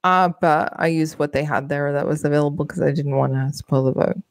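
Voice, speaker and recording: A Canadian woman with a notably low voice co-hosts a casual podcast panel. She speaks bluntly and emphatically in everyday language, and the sound is close and dry. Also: steady tone, a little fast, dry recording. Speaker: podcast co-host.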